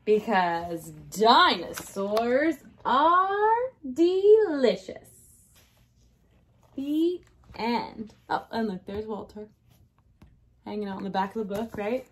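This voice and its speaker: A woman's voice: mid-range voice, very animated, slow, pauses often, a little hiss or hum. A woman in her thirties, a kindergarten teacher reading a story aloud and talking directly to young children with check-in questions. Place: small decorated indoor room.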